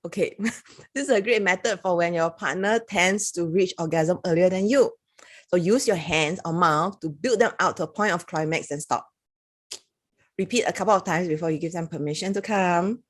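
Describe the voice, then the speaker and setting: Singaporean-accented woman, lower register, relaxed talky reviewer. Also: moderate pace. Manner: chatty, reads passages aloud with little laughs. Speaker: product reviewer. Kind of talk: casual talk-to-camera review.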